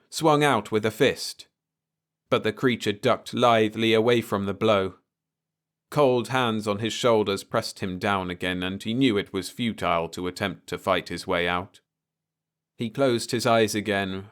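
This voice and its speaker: A man's voice: fairly low pitch, fairly even intonation, measured pace, fairly bright tone, smooth. A man narrating a scripted story as an audiobook reader.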